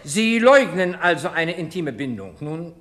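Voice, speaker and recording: An actor playing a haughty, sarcastic public prosecutor in a radio crime play, speaking with biting irony and pointed rhetorical pauses. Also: male voice, a little high, very animated, fairly bright tone. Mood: sneering, probing. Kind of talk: German radio crime play acting, courtroom oratory.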